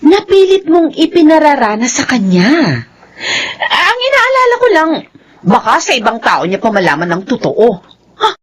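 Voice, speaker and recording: A woman's voice: sits high, wildly swooping pitch, moderate pace. A woman playing a frank, anxious younger sister who nags with emphatic concern, with a Filipino accent, in radio drama style. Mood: worried, pleading. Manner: emphatic, reproachful.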